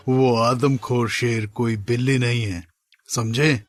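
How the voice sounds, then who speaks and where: A man's voice: medium pitch, fairly even intonation, slow, close to an everyday voice. A man playing a scornful, dismissive townsman or official in a comedy cartoon, mocking and emphatic. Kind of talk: exaggerated cartoon comedy acting.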